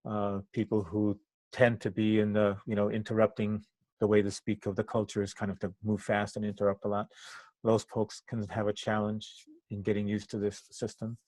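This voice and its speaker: An older man originally from Cuba, native in Spanish, speaks as an interview guest in a low voice. He thinks as he goes, breaking phrases with pauses and picking them up again. The sound is dry and close, with little room in it. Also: monotone, a little mellow, dry recording. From Cuba, moved to the US at eight. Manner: halting, thoughtful phrasing with pauses mid-sentence. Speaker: interview guest, activist and trainer. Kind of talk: reflective interview answers.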